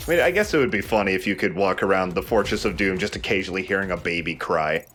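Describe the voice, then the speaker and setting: A man's voice: low-pitched, fast, a bit bright, slight background noise. A man hosting a let's play, giving casual, joking commentary on a video game. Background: game music.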